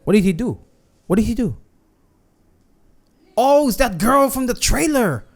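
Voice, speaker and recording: A man's voice: very high-pitched, sing-song, unhurried, gravelly. A man casually reacting to a game playthrough as a streamer, slangy and reactive. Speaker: gaming streamer.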